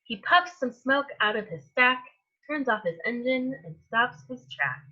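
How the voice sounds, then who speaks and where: A woman reading a picture book aloud to young children as a storytime host, in an expressive manner that stretches out words and softens endings. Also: fairly high pitch, highs cut off. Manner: animated, with drawn-out words and hushed endings.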